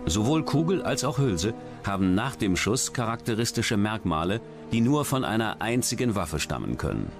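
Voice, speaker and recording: A man narrates a true-crime documentary with a low, lively-moving voice. He is measured and matter-of-fact, delivering scripted lines over clear background music.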